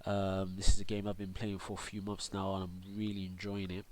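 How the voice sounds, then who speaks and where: A man's voice: low voice, monotone, fairly quick, darkish tone. A young man with a cold, congested, casually walking through a game tutorial full of fillers and restarts. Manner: rambling, many fillers and restarts. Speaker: gaming YouTuber and streamer.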